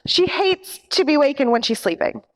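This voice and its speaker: An actress playing a nervous, pleading nightclub singer with a hesitant manner, in a 1940s radio detective parody. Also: female voice, high voice, expressive, normal speed, rough recording. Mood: anxious evasive. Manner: hesitant, imploring. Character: nervous, pleading female nightclub singer, a femme fatale client.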